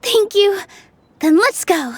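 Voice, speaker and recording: High-voiced, gentle young dancer. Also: female voice, expressive, slow, a little hiss or hum, exaggerated voice. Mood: kindly. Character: gentle, earnest young female dancer, polite and hopeful. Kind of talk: game dialogue acting. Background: music.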